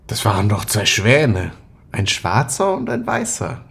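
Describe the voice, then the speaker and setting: A man acting a brooding, burdened character in an intimate audio spy drama, reflective and halting. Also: lowish voice, wildly swooping pitch, vocal fry, a little hiss or hum, heavily acted. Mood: playful.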